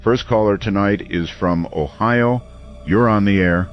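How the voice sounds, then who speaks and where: A man playing a calm, measured late-night radio call-in host. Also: fairly low pitch, dull audio, soft background music, lightly acted.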